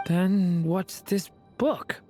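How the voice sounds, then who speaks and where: A woman voicing a shy, gentle young boy in a video game, earnest and polite, with a hesitant, halting way of talking. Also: fairly low pitch, soft background music. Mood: earnest, puzzled.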